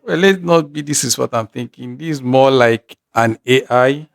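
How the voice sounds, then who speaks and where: A man's voice: mid-range voice, normal speed, gravelly. A man with a Nigerian accent hosting a reaction channel, thinking aloud and restarting his sentences. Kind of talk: casual reaction commentary.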